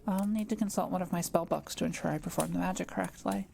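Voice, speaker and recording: Kind witch for audio roleplay, female performer: relaxed, crackly low-leaning voice, gentle and thoughtful, quietly proud of her craft. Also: fast, vocal fry. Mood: gentle, curious. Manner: gentle, hesitant, explanatory musing with small hums. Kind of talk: intimate audio roleplay addressed to the listener.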